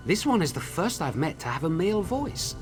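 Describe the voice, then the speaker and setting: A man playing an eager, scholarly researcher of clockwork machines in a video game, with an expressive, explanatory manner. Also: on the high side, fairly quick, faint music behind. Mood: musing. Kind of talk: video game character dialogue.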